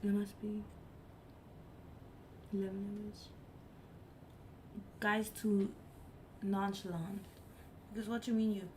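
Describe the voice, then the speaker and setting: A woman's voice: medium pitch, little pitch movement, slow pace, pauses often, darkish tone, a little hiss or hum. A woman chatting casually on a live stream, speaking English as her third language and stressing her points by repeating them. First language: not English. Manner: emphatic, repeats herself for effect. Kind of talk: casual chat.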